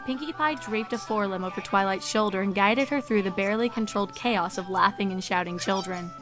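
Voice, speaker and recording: A woman reading scripted fan fiction as a storybook-style narrator, measured and descriptive. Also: medium pitch, music in the background.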